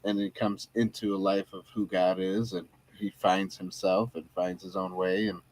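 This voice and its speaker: A man co-hosting a casual film review podcast, easygoing and quick to laugh, with plenty of halting fillers. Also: deep voice, level tone, darkish tone, low-quality recording. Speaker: podcast co-host.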